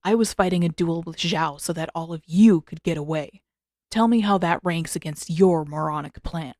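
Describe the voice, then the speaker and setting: A woman's voice: low voice, moderate pace, darkish tone, dry recording. Woman as a dry, blunt teenage prince.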